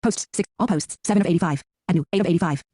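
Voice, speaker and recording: A synthetic male screen reader voice announcing interface text in a flat, robotic way. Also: high voice, racing, no echo, studio quality.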